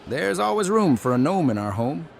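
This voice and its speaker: An actor playing a kindly old fantasy elder in an audio story, creaky and folksy, rambling reassuringly. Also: male voice, on the high side, big pitch swings, normal speed, slight background noise. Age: older. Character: kindly, chatty old gnome-folk elder who loves mushrooms.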